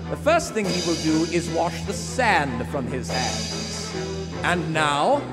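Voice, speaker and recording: A suave vintage radio narrator, played by a man, with a high voice that swings dramatically through the lines. He sounds theatrical, in a reverberant theater with music clearly underneath. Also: lively intonation, slow. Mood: dramatic. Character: suave old-time radio announcer narrating a thriller. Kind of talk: 1940s radio play narration. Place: live on a theater stage.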